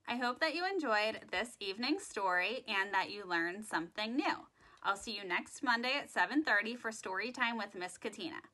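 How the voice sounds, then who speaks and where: A woman host reading aloud to children in an expressive, deliberate storytelling style. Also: medium pitch, low-quality recording. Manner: dramatic, deliberate storytelling delivery addressed to children. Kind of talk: expressive read-aloud of a rhyming children's picture book.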